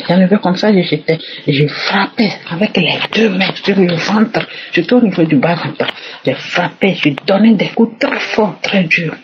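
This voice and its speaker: A woman's voice: on the low side, a little hiss or hum. A woman giving an emphatic personal testimony, repeating her phrases, on a muffled recording.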